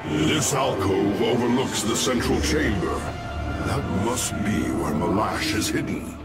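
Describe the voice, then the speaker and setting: An actor playing a wise old alien warrior prophet in a sci-fi game, solemn, measured and formal. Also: male voice, fairly low pitch, animated. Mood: determined. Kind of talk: dramatic sci-fi game acting. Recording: loud music behind the voice.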